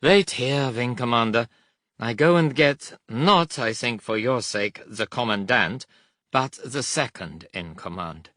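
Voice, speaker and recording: A man voicing a weary, patient German prison camp officer with a German accent and a long-suffering, halting manner. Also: medium pitch, a bit bright, no echo, clean studio sound, voice pushed up, close to an everyday voice. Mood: resigned.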